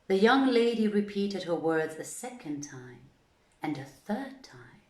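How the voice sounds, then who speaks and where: Slow-paced woman storyteller in an echoing room. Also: medium pitch, very animated. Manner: measured, dramatic, deliberate phrasing. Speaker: storyteller, reader. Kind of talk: literary storybook narration.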